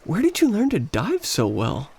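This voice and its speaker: A man's voice: high voice, huge pitch swings, normal speed, darkish tone, breathy edge, heavily acted. A man playing an awkward, smitten young American vacationer in a radio play, hesitant and self-effacing. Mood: smitten, nervous.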